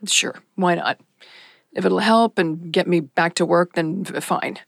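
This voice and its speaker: Worn out lady cop who cant sleep, stammery n evasive, croaky fry, radio play. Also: on the low side, steady tone, no room sound, clean studio sound. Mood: resigned. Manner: hesitant, halting, stammering. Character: sleepless, troubled female police officer, evasive and defensive. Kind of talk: naturalistic audio drama acting.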